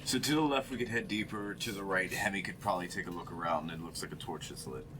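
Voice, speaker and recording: A man's voice: low-pitched, quick pace. A man chatting and joking casually as a player in a tabletop roleplaying game. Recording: clearly noisy room sound.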